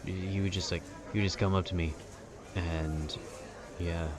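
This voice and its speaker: A young man giving a calm, informal, wry rambling monologue about video games. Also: low-pitched, a little slow, crowd noise. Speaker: video creator.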